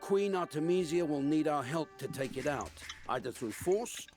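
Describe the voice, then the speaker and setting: An actor playing a pragmatic, cautious Persian military advisor in a video game, measured, formal and sober. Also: male voice, fairly high pitch, lively intonation, darkish tone, faint music behind, lightly acted. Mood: briefing. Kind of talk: dramatic video game voice acting.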